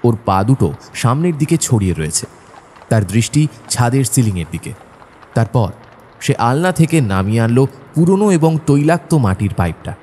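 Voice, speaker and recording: An actor playing a thoughtful, observant detective's companion, telling the story in a measured, descriptive way for an audio drama. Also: male voice, medium pitch, very animated, mellow, slight background noise, lightly acted. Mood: reflective. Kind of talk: scripted narration and audio drama acting.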